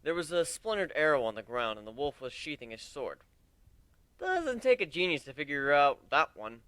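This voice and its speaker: Male amateur story reader, high-pitched and very lively, first-person narration. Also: moderate pace, clear, resonant tone, no room sound.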